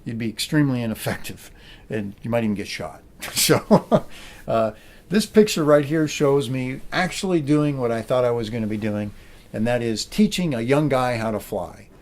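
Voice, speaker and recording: A middle-aged American man speaks to a room as a military aviator sharing his experiences. His voice has a gravelly creak at an ordinary male pitch. He tells anecdotes in an animated way, voicing both sides of exchanges. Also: moderate pace. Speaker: military officer and helicopter pilot giving a talk. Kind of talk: anecdotal public talk to a room.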